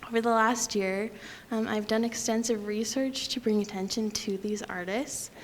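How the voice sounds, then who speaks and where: A young woman from Utah giving a prepared lecture at a podium, talking quietly with frequent ums. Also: a little high, fairly even intonation, normal speed, a little hiss or hum. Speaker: guest lecturer.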